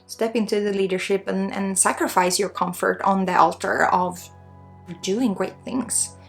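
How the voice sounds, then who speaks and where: A woman with a Swedish accent giving unscripted spiritual guidance in an ASMR healing session, earnest and encouraging, with halting phrasing. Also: medium pitch, steady tone, gravelly, soft background music. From Sweden. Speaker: spiritual guide and energy healer.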